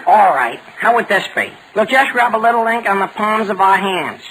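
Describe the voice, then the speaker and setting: An eager teen boy schemer, high bright voice, old hissy 1940s radio. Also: lively intonation, normal speed, poor audio. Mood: confident. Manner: earnest, stammering, exclamatory. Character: eager, excitable teenage boy, scheming best friend. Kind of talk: 1940s radio comedy acting.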